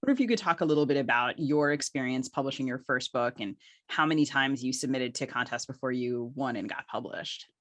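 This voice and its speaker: A man's voice: mid-range voice, a little fast, fairly bright tone, dry recording. A man hosting a conversational interview, building his questions discursively as he thinks aloud. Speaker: podcast co-host, interviewer.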